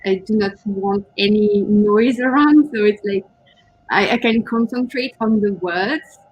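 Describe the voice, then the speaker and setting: A woman whose first language is not English, chatting casually as co-host of a writing stream, often pausing and hunting for words. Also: medium pitch, breathy edge, muffled recording. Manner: hesitant, searching for words, giggly. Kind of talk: casual chat on a writing livestream.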